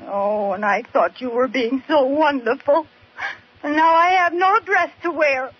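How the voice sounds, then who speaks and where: An actress playing a scheming, excitable American housewife, wisecracking and dramatic in 1940s radio comedy style. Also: female voice, high-pitched, a little slow. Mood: indignant. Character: scheming, excitable young housewife, playful and melodramatic. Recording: muffled vintage radio recording with hiss.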